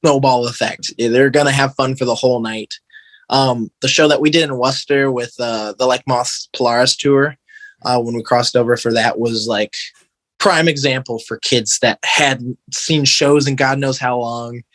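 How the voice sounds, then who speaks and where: A man's voice: mid-range voice, steady tone, normal speed, fairly bright tone, no room sound. An American man talking casually as an interview guest, earnest and informal, with slangy phrasing and lots of "like". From American Midwest. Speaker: podcast guest, band guitarist.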